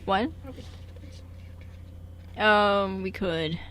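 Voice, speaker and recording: A woman's voice: mid-range voice, fairly even intonation, extremely slow, a bit bright, no echo. A young woman co-hosting a radio show in a casual, giggly, informal way. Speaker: radio show host and script writer. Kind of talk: casual chat. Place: radio studio.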